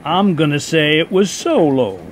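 A man's voice: fairly high pitch, sing-song. A man playing a nostalgic, contrary football supporter in a radio play, talking in a ruminative way. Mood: reminiscing. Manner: slow, ruminative. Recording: faint train noise behind.

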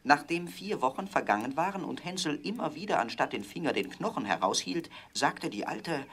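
Bright-voiced male fairy tale narrator. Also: a little high, expressive, brisk, husky.

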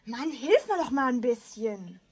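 A woman's voice: fairly high pitch, very animated, measured pace, a little mellow, slightly rough, exaggerated voice. A female performer playing a bossy, exasperated schoolgirl older sister who scolds in a children's radio play.

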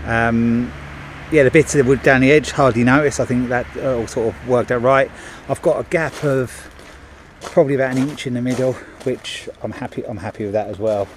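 A man's voice: mid-range voice, fairly even intonation, brisk, slight background noise. Chatty man filming outdoors. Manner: chatty, many ums and restarts. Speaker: handyman. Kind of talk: casual on-the-job narration. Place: outdoors at a driveway gate. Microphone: body-worn action camera.

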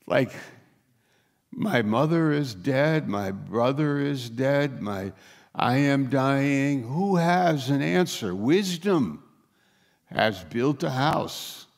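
An older man, a pastor, preaching slowly and emphatically from a lectern on a church stage. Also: medium pitch, gravelly. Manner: slow, emphatic preaching, rising to urgent questions. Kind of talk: sermon.

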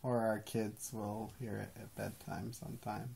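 A man's voice: on the low side, little pitch movement, unhurried, vocal fry, mellow, slight background noise. A man in his thirties chatting casually and playfully in a small bedroom, musing as he goes. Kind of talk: casual chat. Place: small bedroom, lying in bed.

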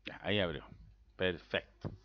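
A Chilean man with a Chilean Spanish accent, walking through a software setup in a casual screen-recorded tutorial, rambling and checking in with a constant "¿ya?". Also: sits low, moderate pace. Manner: rambling, repeats words, tags "¿ya?" constantly. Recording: dull lo-fi sound.

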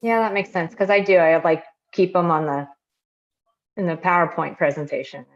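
A woman's voice: on the low side, steady tone, normal speed. A woman taking part in an online workshop, chatting casually and self-deprecatingly as she asks questions over a video call. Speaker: workshop participant. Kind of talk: casual chat, asking questions. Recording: dull, lo-fi video call audio.

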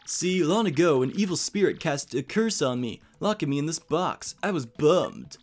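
Video game fan dub: brash, slangy boxed spirit, male actor, highish pitch, very animated delivery. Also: normal speed, faint music behind. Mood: chummy boasting. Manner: cocky, slangy, chummy. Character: brash chummy cursed spirit trapped in a box.

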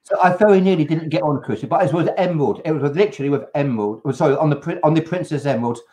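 An older man with a fairly high-pitched voice spins an animated, anecdotal story. He is hosting a casual video-call chat from home and talks loosely and warmly to his guests. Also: rapid-fire. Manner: lively anecdotal storytelling with laughs. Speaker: host.

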